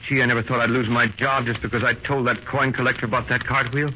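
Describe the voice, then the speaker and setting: An actor playing an earnest young working husband, wistful and stubborn, in 1930s radio style. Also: male voice, mid-range voice, flat delivery, fast, bright, forceful tone. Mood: rueful. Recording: dull, hissy 1930s radio recording.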